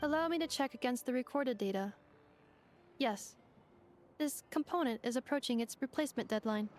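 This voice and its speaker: A woman voicing a polite, precise young female robot assistant in a video game, speaking in a measured, formal, clinical way. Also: high voice, soft background music, natural voice. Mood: formal, composed.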